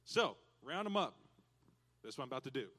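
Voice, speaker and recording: A man preaching a lively sermon to youth and parents in a church, animated and breaking into loud cowboy shouts. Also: medium pitch, a little fast. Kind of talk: lively hands-on sermon with props.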